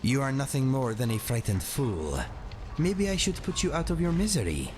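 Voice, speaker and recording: A man's voice: medium pitch, big pitch swings, normal speed, background noise, natural voice. A man playing a bold, wry catlike warrior companion in a fantasy game, speaking in formal, eloquent phrases. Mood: contemptuous, threatening. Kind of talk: fantasy game character acting.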